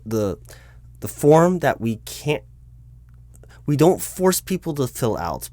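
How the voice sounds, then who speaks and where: American guy with a lowish voice doing a flustered hr manager roleplay, stammers through bureaucratic explanations. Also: darkish tone, dry recording. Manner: halting, hesitant, explanatory, officious. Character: flustered bureaucratic male corporate recruiting manager addressing his disguised boss. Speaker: performer of a one-sided roleplay. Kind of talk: improvised conversational ASMR roleplay, spoken aloud.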